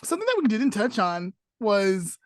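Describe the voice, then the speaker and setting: A woman's voice: medium pitch, sing-song, moderate pace, no echo, studio quality. A woman in her thirties co-hosting a casual podcast, talking with many hesitations and laughing along. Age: 30s. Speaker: podcast co-host.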